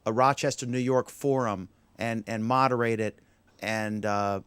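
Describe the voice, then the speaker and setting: A man's voice: medium pitch, fairly even intonation, measured pace, a bit bright, clear, resonant tone, no room sound. A man hosting an online panel discussion, asking long, rambling questions that he keeps breaking off and restarting. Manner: rambling, self-interrupting questions. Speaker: show host. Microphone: video call.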